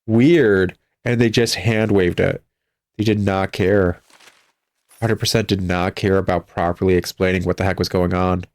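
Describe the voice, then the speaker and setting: A man's voice: low voice, steady tone, a little slow, darkish tone, dry recording. A man giving casual gameplay commentary in a sarcastic, exasperated, griping manner. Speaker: gamer critiquing a video game. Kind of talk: casual gameplay commentary, reading dialogue aloud. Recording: music behind the voice. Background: video game music.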